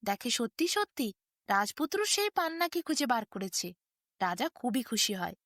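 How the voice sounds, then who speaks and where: High, lilting woman telling a fairy tale. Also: very animated, normal speed, a bit bright, dry recording, clean studio sound. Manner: sing-song storytelling, quoting figures in her own voice. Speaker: storyteller. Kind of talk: bedtime fairy tale narration.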